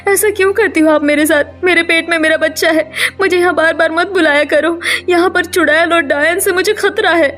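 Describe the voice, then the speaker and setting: A woman's voice: very high voice, steady tone, fairly quick, fairly bright tone, faint music behind, pitched above the performer's own voice. A woman voicing an anxious young village woman in a cartoon, pleading and plaintive.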